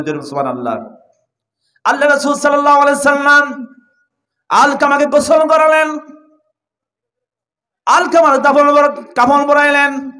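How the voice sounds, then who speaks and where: A man's voice: very high voice, pushed and bright. A man preaching an Islamic story as a sermon, impassioned and emphatic, repeating phrases for effect. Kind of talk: Islamic storytelling sermon, swinging from chanted phrases to loud cries.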